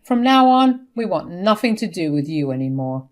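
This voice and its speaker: A woman's voice: fairly low pitch, sing-song, normal speed. A woman voicing a hurt, angry grown son who speaks firmly and accusingly in a family drama story. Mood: firm rejection. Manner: firm, accusing, exasperated. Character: hurt, angry adult son rejecting his mother.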